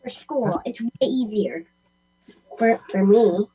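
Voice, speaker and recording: A woman's voice: medium pitch, slow, dark tone, slightly rough, low-quality recording. A young girl chatting casually and eagerly, repeating herself and peppering her talk with "like". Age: child. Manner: eager, repetitive, many "like"s. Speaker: young participant. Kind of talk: casual chat.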